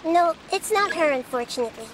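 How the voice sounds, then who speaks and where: A woman voicing a small, wise and gentle childlike goddess in a video game. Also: very high voice, expressive, brisk, slight background noise, poor audio. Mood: calm, helpful. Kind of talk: scripted video game voice acting.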